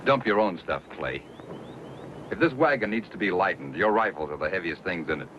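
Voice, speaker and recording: An actor playing a gruff, stubborn frontier trail man in a western radio drama, with a rustic American dialect and emphatic delivery. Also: sits low, animated, a little fast, background noise. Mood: scornful. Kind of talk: old-time western radio drama acting. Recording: dull old radio recording with music.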